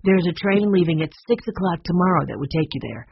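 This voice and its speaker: Teenage storybook boy, acted by a narrator, straightforward, earnest, helpful, medium pitch. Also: expressive, fairly quick, gravelly, slightly rough, no room sound, muffled recording. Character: sensible, helpful teenage boy, eldest grandson.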